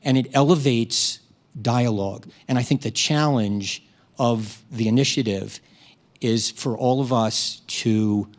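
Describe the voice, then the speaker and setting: A man who teaches eighth graders, speaking on a town hall panel in a measured, anecdotal way with frequent restarts. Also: mid-range voice.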